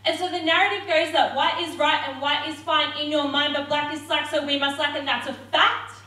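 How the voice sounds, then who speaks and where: A woman's voice: high-pitched, fairly even intonation, brisk, pushed and bright. A young woman performing spoken word poetry with a rhythmic, defiant delivery. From Australia. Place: theatre stage. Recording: roomy hall, mic at a distance.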